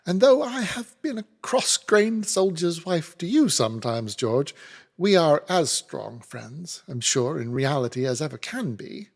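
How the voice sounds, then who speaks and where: A man's voice: on the high side, sing-song, unhurried, gravelly, pitched above the performer's own voice, exaggerated voice. A man voicing a cheerful, motherly soldier's wife in a raised, airy voice with a wandering put-on accent. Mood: fond. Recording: reverberant room.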